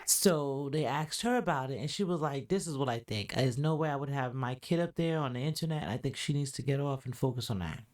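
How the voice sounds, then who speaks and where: A woman with a deep, low voice hosts a podcast and chats through a reaction, qualifying her opinions as she goes. her delivery is relaxed and conversational, with plenty of asides. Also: fairly quick, few pauses. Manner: chatty, hedging with "allegedly" and "in my opinion". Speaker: podcast host. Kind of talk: casual reaction commentary.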